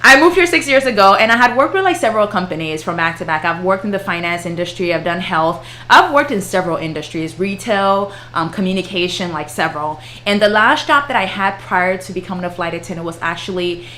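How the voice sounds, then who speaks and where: A woman's voice: fairly low pitch, animated, a little fast, few pauses, pushed and bright, slight background noise. A woman, chatting casually with viewers on a livestream and laughing often. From Ghana. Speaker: flight attendant and YouTuber.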